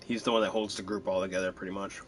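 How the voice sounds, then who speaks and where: A man's voice: deep voice, little pitch movement, rapid-fire, faint music behind. A man giving casual, chatty gameplay commentary, drifting into rambling asides. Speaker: video game player commentator.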